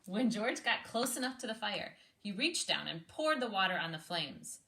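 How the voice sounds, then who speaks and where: A woman reading a children's picture book aloud in an animated, encouraging way, pausing to prompt with questions. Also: medium pitch. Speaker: read-aloud host, speech therapist.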